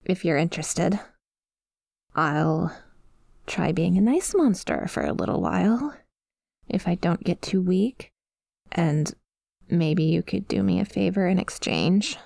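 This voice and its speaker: Creaky, hushed demon girl acted by a woman. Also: on the low side, expressive, no echo, clean studio sound, full character voice. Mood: shy, hopeful. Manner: hesitant, halting, tentative, confiding. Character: female sleep paralysis demon, possessive, scared, yearning to be kind. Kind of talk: ASMR roleplay, intimate monologue addressed to a sleeper. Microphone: close microphone, dry.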